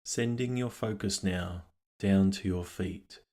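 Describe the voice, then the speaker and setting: A man leading a guided meditation, speaking calmly and gently in unhurried phrases with long pauses. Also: low-pitched. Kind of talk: guided meditation, scripted narration.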